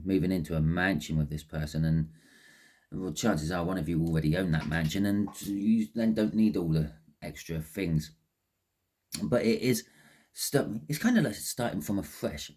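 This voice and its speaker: A man with a very low, dark voice reads tarot cards in a loose, rambling way. His throat sounds phlegmy and husky. He repeats words and restarts his sentences often. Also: normal speed. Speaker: tarot reader. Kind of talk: casual tarot reading monologue.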